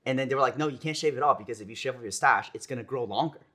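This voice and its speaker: A young American man, a pro gamer, chatting casually in an interview, relaxed and rambling with lots of "like" and "you know". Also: medium pitch, racing. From United States. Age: young adult. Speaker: esports player guest.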